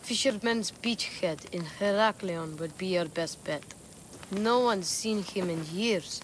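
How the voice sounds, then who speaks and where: An actress playing a cheeky, candid child in a video game cutscene, speaking in a youthful, matter-of-fact way. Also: female voice, medium pitch, slow, slight background noise, natural voice, poor audio. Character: cheeky, candid child searching for truth about father. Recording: faint music underneath.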